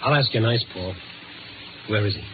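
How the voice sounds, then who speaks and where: An actor playing an urgent, tough American newspaper reporter in a 1950s radio drama, with clipped, insistent delivery. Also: male voice, on the low side, expressive, moderate pace, noisy background, natural voice. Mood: hard, pressing. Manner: clipped, insistent, hard-boiled. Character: urgent, tough-talking male newspaper reporter on the night beat. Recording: tinny old radio recording, loud music behind.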